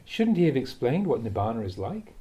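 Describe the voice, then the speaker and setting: A man, a Buddhist monk, reading a dharma talk aloud in a measured, reflective way with the occasional soft chuckle. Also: medium pitch, big pitch swings.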